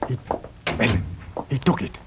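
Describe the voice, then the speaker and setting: An actor playing a chummy, excitable working-class husband in a 1940s radio drama, familiar and eager in manner. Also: male voice, moderate pace, natural voice. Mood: excited. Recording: muffled, noisy old radio recording.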